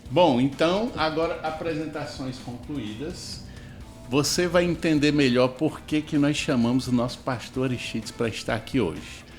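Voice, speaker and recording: A man's voice: fairly high pitch, moderate pace, faint music behind. A man hosting a casual church talk show, playful and teasing as he hypes up his guest. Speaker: host.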